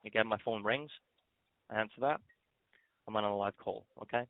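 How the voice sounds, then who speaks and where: A man giving a conversational software walkthrough as a trainer, explaining step by step and often checking in at the end of a point. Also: on the low side, moderate pace, vocal fry, no echo. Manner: explanatory, often ends points with a check-in "okay?" or "all right?". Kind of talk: screen-share software tutorial, conversational walkthrough. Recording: narrow phone-like line, fairly dry.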